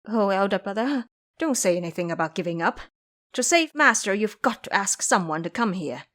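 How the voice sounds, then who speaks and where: A woman's voice: medium pitch, animated, normal speed, fairly bright tone, close to an everyday voice. A woman voicing an earnest, pleading young male dragon in horse form for an audio drama. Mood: tearful pleading. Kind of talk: audio drama character acting.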